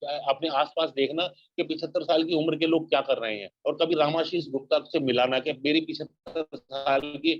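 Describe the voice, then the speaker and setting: A man's voice: fairly high pitch, fairly even intonation, normal speed, few pauses, no room sound. A man giving an earnest motivational talk on network marketing, exhorting listeners and repeating his points. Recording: dull lo-fi, dry sound.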